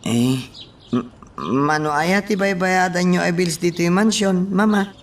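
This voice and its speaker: A man's voice: high-pitched, measured pace, recorded from a distance, a little hiss or hum. An actor playing a meek, hesitant young man who stammers unsurely in a radio drama. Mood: timid, flustered. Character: hesitant, meek young man, eager to please his mother.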